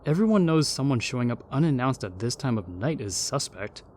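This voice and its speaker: A man's voice: medium pitch, big pitch swings, fairly quick, darkish tone, slight background noise, natural voice. A man playing a jaded, indifferent, snobbish ghost in a comedic audio roleplay, dry, aloof and sardonic. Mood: disdainful.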